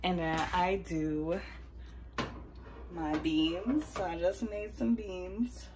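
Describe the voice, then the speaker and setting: Unhurried American woman, lowish voice. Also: fairly even intonation, background noise. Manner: informal, slangy, unhurried. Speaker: vlogger. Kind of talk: casual chat to camera.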